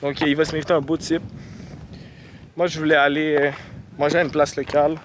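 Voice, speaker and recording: A man's voice: fairly high pitch, little pitch movement, fairly quick. A young man with a Québécois accent chatting casually as a travel vlogger, outdoors with a handheld phone. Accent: Québécois French. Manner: chatty, laid-back, playful tour-guide patter. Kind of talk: casual travel vlog chat. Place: outdoors in a nature valley. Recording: loud outdoor noise.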